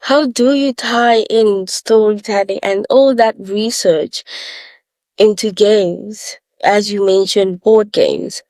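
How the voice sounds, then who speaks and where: A woman's voice: medium pitch, slow pace, a little mellow, no room sound. A woman hosting a podcast interview, with an upbeat, scripted-sounding intro. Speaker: podcast host.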